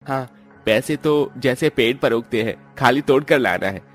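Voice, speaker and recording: A defensive young villager, played by a man in a cartoon family story. He explains and grumbles in a medium-pitched voice, with faint music behind him. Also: moderate pace, close to an everyday voice. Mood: defensive, grumbling. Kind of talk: Hindi cartoon story dialogue.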